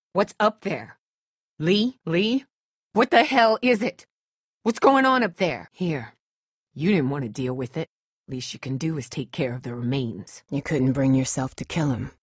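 A woman's voice: on the low side, breathy edge, no room sound, studio quality. A woman acting a tough, distrustful and protective survivor in a dramatic video game, blunt, sardonic and guarded. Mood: alarmed, urgent.

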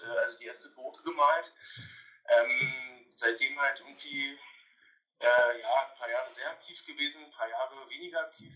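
Man in his thirties, guest by phone, bright edgy tone, muffled lo-fi line, halting with fillers. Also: medium pitch. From Berlin, Germany. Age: 30s. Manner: hesitant, many fillers. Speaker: guest artist. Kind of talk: casual interview. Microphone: phone call. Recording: dull lo-fi phone call.

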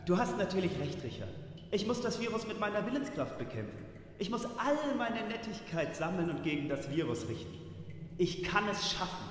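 A man's voice: high voice, big pitch swings, normal speed. A man playing an earnest, pompously nice superhero in a comic radio play, with declamatory heroic delivery. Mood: determined. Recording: very echoey hall, faint noise.

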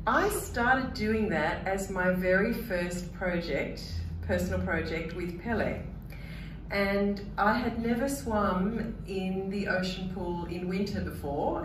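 A middle-aged woman telling a class a personal story, building up to real enthusiasm. Her voice is mid-pitched and sits far off in an echoey room. There is steady noise behind her. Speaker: guest speaker. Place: university classroom. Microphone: handheld microphone.

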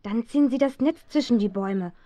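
A woman's voice: a little high, a little fast, warm tone, dry recording, natural voice. A woman playing a plucky, headstrong teenage girl detective in a children's radio play, eager and insistent. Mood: excited, determined. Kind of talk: 1970s German children's radio play acting. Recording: dull, muffled old audio drama recording.

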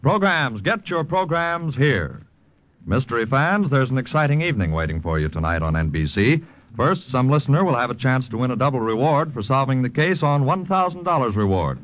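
A man's voice: medium pitch, very animated, brisk, fairly bright tone. A man reading scripted credits and programme promos as an old-time radio announcer. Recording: dull old radio recording, faint music.

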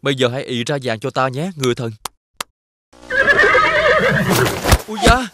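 A man playing an honest, humble young suitor in an animated fairy tale, speaking in an earnest way. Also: medium pitch, fast, a little hiss or hum. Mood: worried. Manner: earnest, polite phrasing. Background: background music.

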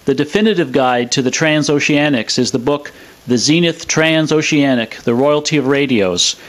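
A man's voice: on the high side, normal speed. A man presenting in a scripted, explanatory narration style. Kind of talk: scripted narration of a radio restoration demo.

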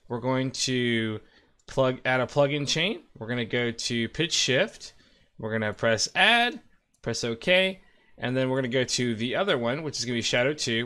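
Man, thirties, informal tutorial host with mid-pitched voice, explaining software steps casually. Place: indoor room at a desk.

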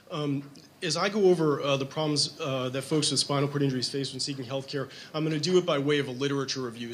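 A man's voice: mid-range voice, a bit bright, a little hiss or hum. A man in his forties, a physician, giving a conference lecture in a casual, self-deprecating way with frequent ums and restarts, into a podium microphone in a hospital conference room.

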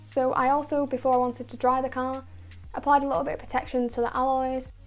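A woman narrating a car detailing video in a steady, explanatory voice-over, going through each step and product methodically. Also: high voice, fast, faint music behind. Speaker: car detailing channel host.

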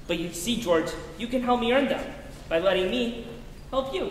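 A man's voice: very high voice, lively intonation, normal speed, slight background noise. Man acting an eager, lilting guardian angel. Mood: earnest. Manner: genteel, earnest, naive. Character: ancient, innocent, eager male second-class guardian angel. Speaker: stage actor. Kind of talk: live 1940s-style radio play acting. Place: theater stage in a large auditorium. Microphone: distant camera. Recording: echoey hall from far off.

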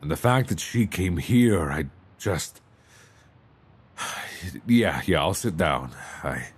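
A man playing a worried, tender mobster boyfriend in an intimate ASMR roleplay, halting and hesitant with sighs. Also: sits low, big pitch swings, slight background noise, full character voice. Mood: flustered, exasperated.

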